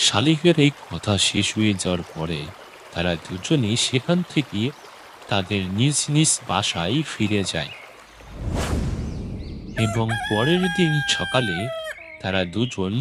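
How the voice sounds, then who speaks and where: A man's voice: on the low side, background noise. A man narrating a scripted children's cartoon story.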